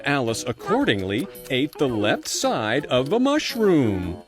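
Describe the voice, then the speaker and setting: A man's voice: medium pitch, huge pitch swings, takes it slowly. A man narrating a scripted children's storybook tale as its storyteller. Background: faint music.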